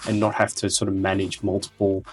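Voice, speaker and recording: Slightly husky, lowish-voiced businessman. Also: completely flat delivery, a little fast. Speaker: interviewee, regional company executive. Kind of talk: conversational business talk. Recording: music behind the talk. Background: background music.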